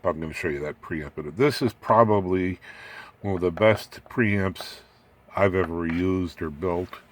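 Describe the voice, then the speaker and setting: A man's voice: low voice, slight background noise. A man giving an informal, rambling hands-on electronics walkthrough in a folksy way with dry humor, recorded on a handheld camera. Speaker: electronics hobbyist host. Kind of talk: informal workshop walkthrough, explaining as he goes. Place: indoor home electronics workbench. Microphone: handheld camera or phone mic, off screen.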